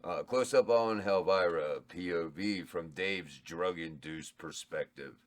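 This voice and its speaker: A man's voice: sits low, unhurried. A man reading stage directions aloud at a table read, laid-back and chuckling. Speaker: script reader and cast member.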